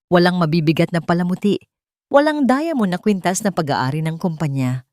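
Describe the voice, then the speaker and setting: A woman's voice: fairly low pitch, animated, brisk, a little mellow, dry recording, studio quality. A woman narrating a scripted first-person romance story.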